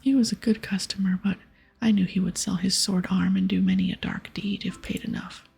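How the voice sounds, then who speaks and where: A woman voicing a friendly, gossipy tavern innkeeper from a fantasy game in a breathy half-whisper. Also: medium pitch, steady tone, a little fast, darkish tone, faint music behind, full character voice. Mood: shocked, earnest.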